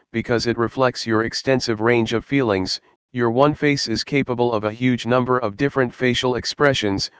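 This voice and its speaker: A man reading a scripted Bible study in a measured, earnest, explanatory way. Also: mid-range voice, clear, resonant tone, dry recording. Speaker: Bible teacher.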